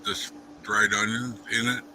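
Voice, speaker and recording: A man chatting as a guest on a livestream video call, talking haltingly with frequent restarts. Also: on the low side, flat delivery, pushed and bright. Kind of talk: casual chat. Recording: noisy livestream call audio.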